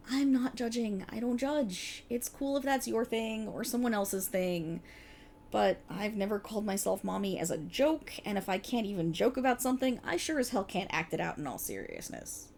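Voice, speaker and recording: A woman's voice: medium pitch, creaky, a little mellow, a little hiss or hum. A woman playing a playful, exasperated voice actor bantering casually with her best friend in an ASMR roleplay. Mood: teasing, candid. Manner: sarcastic, chatty, casual, hesitant asides. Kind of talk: ASMR roleplay, one side of a conversation.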